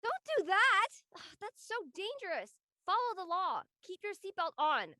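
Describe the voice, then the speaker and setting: Actress for young female rule-follower, drama, earnest and literal, bright tone, dry close sound. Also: very high-pitched, sing-song, normal speed, dry recording, full character voice. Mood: alarmed. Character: earnest, rule-abiding young woman student. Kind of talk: acted dialogue in an audio-led play.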